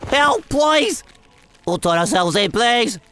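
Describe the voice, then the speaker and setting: A man voicing a spoiled, vicious young criminal in a manga dub, with a shrill, strained delivery. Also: big pitch swings, takes it slowly, a bit bright, exaggerated voice. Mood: panicked, pleading.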